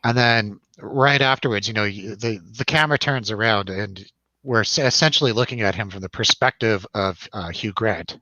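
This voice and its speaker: A man's voice: fairly low pitch, normal speed, bright, forceful tone, dry recording, highs cut off. A man hosting a casual film review chat, rambling and jokey, putting questions to his co-host. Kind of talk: casual chatty film discussion over drinks.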